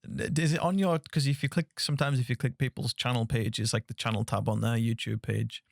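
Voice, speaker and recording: A man hosting a soft-spoken podcast interview, relaxed and chatty, laughing easily. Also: mid-range voice, brisk, vocal fry, no echo, studio quality. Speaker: podcast host.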